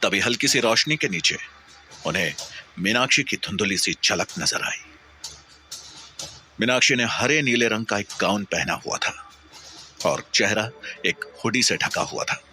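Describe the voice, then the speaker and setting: A man reads a dramatic horror story with theatrical suspense. His voice sits on the low side but is very bright and forceful. Music plays softly behind him. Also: lively intonation, unhurried, long pauses.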